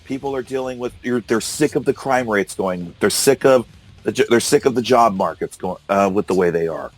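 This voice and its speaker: Hoarse guy hosting a call-in style chat, low and dry, keeps pushing back. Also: normal speed, dry recording, soft background music. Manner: argumentative, incredulous, laughs often. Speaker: podcast host. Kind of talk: casual political discussion. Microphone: call recorder.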